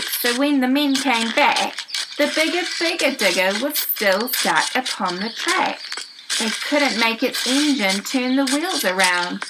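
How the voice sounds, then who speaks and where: A woman with a New Zealand accent hosts a children's story show, reading a rhyming picture book aloud with animated emphasis and drawn-out key words. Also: mid-range voice, noisy background.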